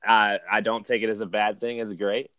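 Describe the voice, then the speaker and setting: Casting: male guest, about thirty-one, fairly deep voice, informal personal storytelling full of "like" and "man", dry recording. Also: flat delivery, normal speed, pushed and bright, dry recording. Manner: informal, slangy, uses "man", "dude" and "like". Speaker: podcast guest, podcaster. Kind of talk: casual chat, personal storytelling.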